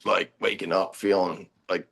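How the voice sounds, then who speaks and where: A man in his thirties, a band's bass player, talking in a relaxed, rambling way during a casual chat. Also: normal speed, creaky. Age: 30s.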